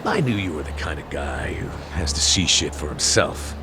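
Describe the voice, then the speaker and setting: An actor voicing a jaded, streetwise middle-aged former detective in a video game, casual and sardonic, with a goading way of talking. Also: male voice, rumbling low, very animated, moderate pace, background noise. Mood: smug. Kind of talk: video game cutscene voice acting. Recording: dramatic music behind the voice. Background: loud background music.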